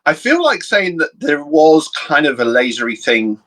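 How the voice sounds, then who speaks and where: A man's voice: a little high, very animated, normal speed, a bit bright. A man with a Cornish accent, co-hosting a casual chat over a video call, rambling with many fillers and restarts. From Cornwall, England. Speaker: co-host.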